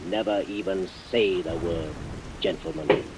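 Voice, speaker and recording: An honest blunt gentleman hero, earnest old radio style, faint hiss and rain. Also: deep voice, big pitch swings, vocal fry, exaggerated voice. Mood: contemptuous, controlled. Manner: blunt, earnest, halting when flustered. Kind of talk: 1940s radio acting. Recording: hissy old radio recording, rain sounds.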